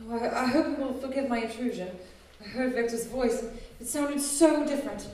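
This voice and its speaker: A devoted young fiancée from an old-time radio melodrama, played by a woman speaks with theatrical, sweet earnestness. The voice is slightly high yet mellow. It carries from a distance through a very echoey hall. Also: a little fast, close to an everyday voice. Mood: tender, relieved. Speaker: actor in a live radio drama. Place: live stage in a community hall.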